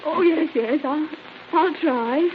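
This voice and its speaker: An actress playing an excited, frightened young wife in an old radio drama, stammering and breathless. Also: female voice, high voice, slow. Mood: upset. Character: excited, frightened young wife fearing her husband was murdered. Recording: dull, hissy old radio recording.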